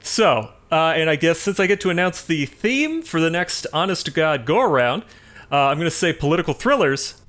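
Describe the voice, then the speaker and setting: Man, fairly high voice, very animated intonation, podcast co-host, casual film chat, explanatory. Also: moderate pace.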